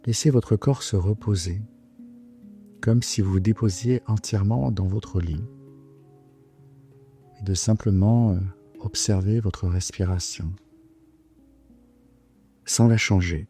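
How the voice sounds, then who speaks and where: A man with a very low, mellow voice guides a sleep meditation over gentle guitar music. He speaks soothingly and without hurry, leaving long, hesitant pauses. His tone is dark and a little breathy. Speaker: yoga nidra guide. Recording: soft guitar music behind the voice. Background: gentle plucked guitar music.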